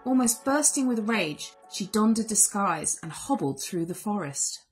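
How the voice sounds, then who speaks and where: A woman reads a children's tale aloud as host. Her delivery is expressive and unhurried, at a medium-low pitch for a woman or near the middle, with music behind her. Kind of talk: expressive read-aloud story narration.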